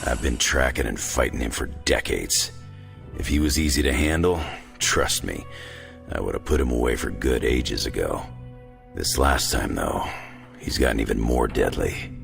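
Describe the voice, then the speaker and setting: A rugged middle-aged brawler in a game cutscene, speaking in an extremely low rumble full of gravel. He is gruff and sardonic, tossing off folksy, laconic remarks like a veteran of many fights. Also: animated, normal speed, soft background music, exaggerated voice. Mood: grim, wry. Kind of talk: video game cutscene dialogue.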